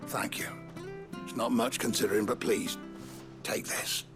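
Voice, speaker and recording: Courteous, earnest townsman, video game. Unhurried, creaky, soft-spoken, over plucked guitar. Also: on the low side, steady tone. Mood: relieved, grateful. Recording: guitar music under the dialogue.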